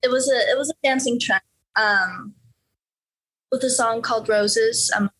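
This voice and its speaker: A teenage girl from Norway talks through interview questions in a casual, unhurried way. She hesitates often, filling gaps with fillers and starting sentences over. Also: fairly high pitch, a bit bright, poor audio. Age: teens. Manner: hesitant, many fillers and restarts. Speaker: interview guest, young musician.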